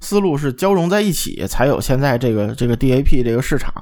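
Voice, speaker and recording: A man with a lively, swinging intonation around a medium pitch chats as a podcast co-host. He is blunt and colloquial, often checking for agreement at the end of a point. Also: quick pace. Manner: blunt, colloquial, seeks agreement with tag questions. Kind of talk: casual chat about audio gear.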